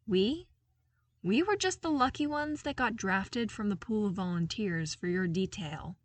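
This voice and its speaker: A woman's voice: medium pitch, lively intonation, measured pace, no echo, distant mic. A woman voicing a dutiful, matter-of-fact male soldier in a story, formal and earnest. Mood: matter-of-fact, fond.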